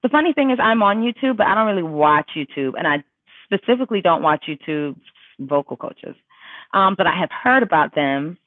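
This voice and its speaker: A woman's voice: on the low side, expressive, a little fast, dry recording. A woman vocal coach in a casual livestream question-and-answer session, reading viewers' questions aloud and answering in a chatty, emphatic way with many fillers. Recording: tinny dry phone-like sound.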